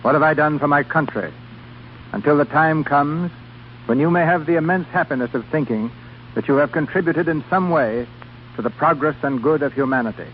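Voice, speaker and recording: A man's voice: fairly high pitch, moderate pace. Formal, dramatic vintage radio host, muffled man. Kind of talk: 1930s radio scripted narration. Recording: dull, noisy vintage radio broadcast.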